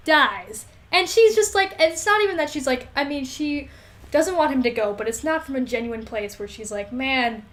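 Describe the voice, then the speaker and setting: A woman co-hosting a podcast, recapping a story casually in a wry, slangy way and acting out the dialogue. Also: fairly high pitch. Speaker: podcast co-host.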